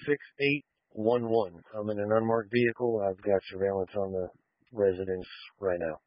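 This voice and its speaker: A man's voice: lowish voice, fairly even intonation, moderate pace, dry recording. A man, a police officer, giving a situation report over a police radio. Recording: muffled police scanner radio audio.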